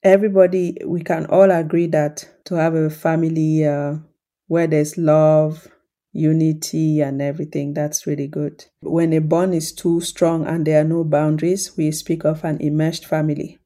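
A woman with a low voice talks calmly and thoughtfully to her podcast listeners. She keeps her tone warm and mellow and her pitch even, with little rise or fall. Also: moderate pace. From Cameroon. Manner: calm, reflective, explanatory. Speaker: podcast host and coach. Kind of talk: solo podcast talk.

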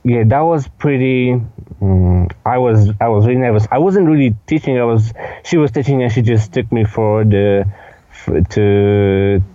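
An eighteen-year-old man with a Polish accent, giving casual interview answers in a hesitant, halting way with repeated words. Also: fairly low pitch, warm tone, dry recording, muffled recording. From Poland. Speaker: podcast guest, dance instructor.

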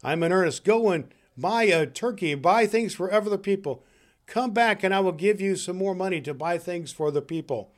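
A man acts out a reformed old miser in a storybook tale, giddy with joy. The voice is raised high and loud, exuberant and exclamatory, full of eager goodwill. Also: lively intonation, moderate pace. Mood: joyful, excited.

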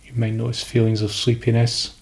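A man guiding a sleep meditation in calm, instructional short phrases with pauses between them. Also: mid-range voice, gravelly. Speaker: meditation guide.

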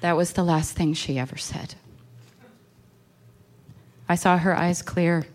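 A woman's voice: on the low side, fairly even intonation, takes it slowly, a little mellow. A woman acting a distraught, intense young woman in a horror radio play, pleading and emotionally raw. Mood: shaken, haunted. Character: distraught young woman convinced insects infest her girlfriend.

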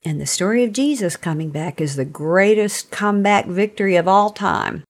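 A woman's voice: mid-range voice, moderate pace. A woman giving a conversational, faith-based teaching talk as a podcast host, earnest and emphatic, often posing rhetorical questions.

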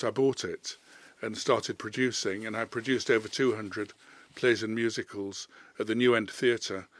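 A man's voice: fairly low pitch, no echo. An older man from Leeds, a playwright recounting his career in an interview with many fillers. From Leeds, England. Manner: rambling, anecdotal, with fillers. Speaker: playwright guest. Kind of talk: relaxed storytelling interview.

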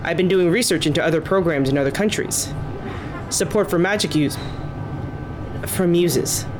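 A woman playing a polite, earnest reformer in a naturalistic audio drama, with courteous, careful, measured phrasing. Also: lowish voice, music in the background, lightly acted. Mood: earnest, hopeful.

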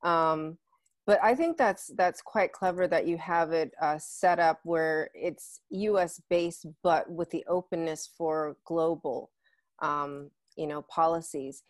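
A woman's voice: sits low, fairly even intonation, slow pace, dry recording, clean studio sound. A woman hosting a podcast interview, chatty and full of filler words. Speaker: podcast host.

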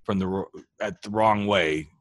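Male host, very low pitch, dry close sound; measured, reflective therapist. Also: no room sound. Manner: measured and reflective, builds long thoughts. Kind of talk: conversational interview.

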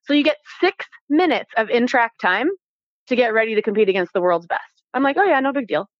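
A Canadian-accented woman telling stories casually as an interview guest, animated and informal, acting out bits of dialogue as she goes. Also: fairly high pitch, brisk, no room sound, lo-fi sound. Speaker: interview guest, former Olympic athlete. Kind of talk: casual conversational storytelling.

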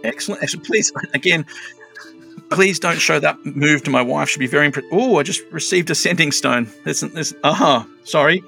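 Give me a man playing a flustered, oversharing town crier with a bright, gravelly voice. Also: on the high side, brisk, faint music behind. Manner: rambling, flustered, comic oversharing. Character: flustered middle-aged male town crier, abandoned husband. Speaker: game master voicing a townsperson. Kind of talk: improvised tabletop roleplay.

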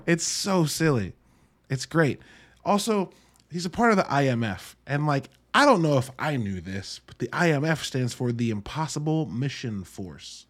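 A man's voice: medium pitch, lively intonation, moderate pace, a bit bright. Casual, wry man reviewing films solo. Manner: informal, wry, mildly profane. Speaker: podcast host reviewing films.

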